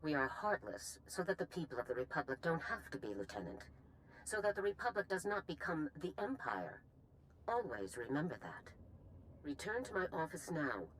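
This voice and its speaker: Tough older military general in a game, clipped orders, lively pitch, faint rumbly noise. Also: female voice, moderate pace, low-quality recording. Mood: stern rebuke. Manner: stern, clipped, commanding. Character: stern middle-aged female general, hard and unyielding. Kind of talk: scripted video game acting.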